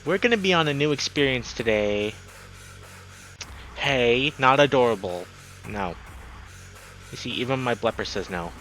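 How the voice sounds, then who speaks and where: A man with a bright, animated voice hosts a casual game livestream. He chats excitably with viewers as music plays behind him. His pitch moves around a lot. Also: unhurried, pauses often, rough recording. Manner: excitable, chatty, greets viewers by name. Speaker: game streamer and host. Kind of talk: casual livestream chat while playing a visual novel.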